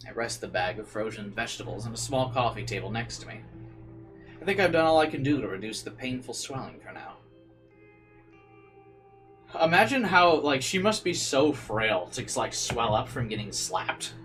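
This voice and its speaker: A man's voice: medium pitch, animated, normal speed, fairly bright tone, soft background music. A man reading visual novel narration aloud as a streamer, dropping into informal side comments and occasionally stumbling over words.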